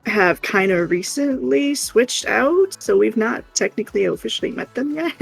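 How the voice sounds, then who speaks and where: A livestream co-host chatting casually and telling everyday stories in an animated, playful way. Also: high-pitched, a little slow, creaky, breathy edge, low-quality recording. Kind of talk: casual livestream chat and storytelling. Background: music.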